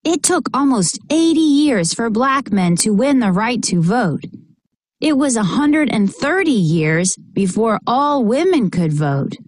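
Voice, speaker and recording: Female storybook reader, high voice with lively swings in pitch, unhurried and deliberate, expressive read-aloud for kids. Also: no room sound, studio quality. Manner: expressive, deliberate delivery for children. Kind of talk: reading a children's picture book aloud.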